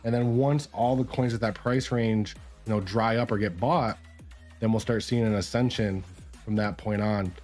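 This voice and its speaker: A man with a lowish, slightly raspy voice, since he is getting over a cold. He talks through price charts in a loose, conversational way, with plenty of ums and uhs. Faint music plays under him. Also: steady tone, normal speed, darkish tone. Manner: casual, explanatory, many fillers. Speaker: crypto streamer. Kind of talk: live-stream chart analysis.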